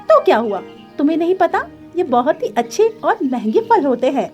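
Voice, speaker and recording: Small female bird, cartoon, woman performer: very high, lively swoops, upbeat, sure of herself. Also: normal speed, soft background music. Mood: confident. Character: cheerful confident little female bird farmer.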